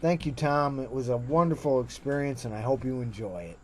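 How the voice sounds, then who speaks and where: A man's voice: mid-range voice, a little fast, a little hiss or hum. A man speaking as host, giving an appreciative spoken introduction to a recorded interview.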